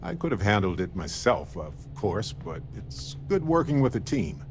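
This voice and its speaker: An actor playing an amiable, earnest space explorer companion in a video game, speaking conversationally and sincerely. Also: male voice, fairly low pitch, very animated, normal speed, background noise. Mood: friendly, reflective.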